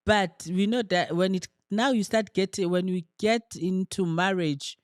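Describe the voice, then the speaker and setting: An earnest woman co-hosts a relationship podcast, talking her points through in casual chat and pausing to search for words. The sound is dry and close, with no room echo. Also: on the low side. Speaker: podcast co-host.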